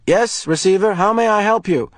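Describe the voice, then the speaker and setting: A man's voice: high-pitched, normal speed, no room sound, pitched above the performer's own voice, natural voice. A man voicing a polite, dutiful intercom attendant who speaks formally in an audiobook.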